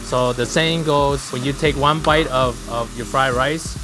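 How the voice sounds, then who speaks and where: A man whose first language is Cantonese, a guest chef who calmly explains his cooking tips in a kitchen. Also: medium pitch, measured pace, low-quality recording. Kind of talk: casual cooking chat. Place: home-style kitchen, indoors. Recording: background music under the voices.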